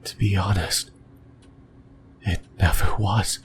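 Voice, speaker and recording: A man voicing a lonely, possessive demon in a breathy, brooding whisper for an ASMR roleplay. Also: low-pitched, fairly even intonation, noisy background. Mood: tender, yearning. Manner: slow, halting, brooding delivery. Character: lonely, possessive sleep paralysis demon, lost and yearning.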